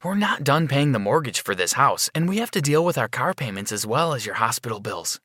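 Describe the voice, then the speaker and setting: A man's voice: medium pitch, big pitch swings, brisk, fairly bright tone, dry recording, studio quality. A man voicing a practical, fretful middle-aged mother in a narrated story, insistent and questioning. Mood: firm, worried.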